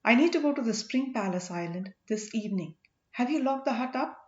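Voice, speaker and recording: A woman's voice: on the high side, expressive, a little fast, natural voice. A woman voicing a loyal, teasing boatman husband in an audiobook, playful and earnest. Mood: thoughtful, purposeful. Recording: echoey room, dull lo-fi sound.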